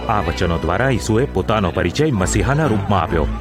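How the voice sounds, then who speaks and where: A man's voice: low voice, animated, brisk. A man narrating a scripted audio drama in a formal, literary storytelling manner. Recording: music under the voice.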